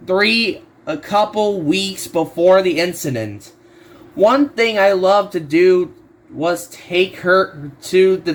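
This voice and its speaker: A man narrates a creepy story as an amateur reader. His voice sits quite high for a man and he goes slowly. His delivery is halting, with words repeated and restarted as he reads. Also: a bit bright. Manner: halting, stumbles and repeats words while reading. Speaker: narrator of horror stories. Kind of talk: amateur creepypasta reading aloud.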